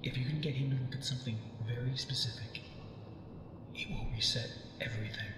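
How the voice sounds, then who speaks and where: A man voicing a weary prisoner held for a long time, calm and cryptic, in a video game cutscene, speaking in a hushed, half-whispered, measured way. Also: mid-range voice, natural voice. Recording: cavernous echo with long reverb and ambient noise.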